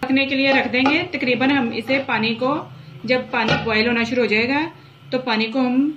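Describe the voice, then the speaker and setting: A woman hosting a home cooking channel, talking through each step of a recipe at the stove in an unhurried, repetitive way. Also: sits high, fairly even intonation, highs cut off. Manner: unhurried, repeats each step as she works. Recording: echoey kitchen with sizzling noise. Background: frying and sizzling from the pot.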